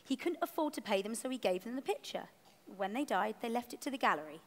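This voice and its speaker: A woman's voice: a little high, lively intonation, fast talker, close to an everyday voice. A woman playing a fussy, defensive male gallery curator in a dramatised story reading, explaining things at length. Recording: echoey hall, picked up in the room.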